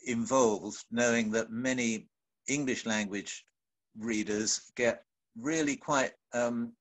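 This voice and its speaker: A man's voice: medium pitch, steady tone, takes it slowly. A man speaking in a panel discussion over a video call, hesitant with many restarts.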